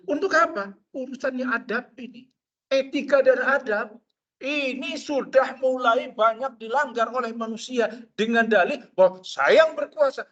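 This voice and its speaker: Man, Indonesian-accented preacher, lively mosque lecture, dull lo-fi sound. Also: extremely high pitch. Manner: expressive, acts out quoted people in asides.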